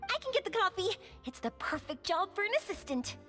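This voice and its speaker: Very high, bubbly young woman. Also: very animated, brisk, sharp tone, faint music behind, exaggerated voice. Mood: cheerful, eager. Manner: exuberant, exclamatory, gushing. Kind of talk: video game voice acting.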